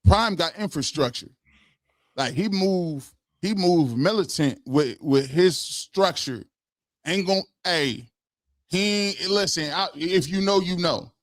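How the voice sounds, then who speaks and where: A man talking casually on a live-stream podcast, animated and emphatic, full of slang and quick laughs. His voice sits a little high, and it is recorded dry and close. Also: dry recording. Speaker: podcast host.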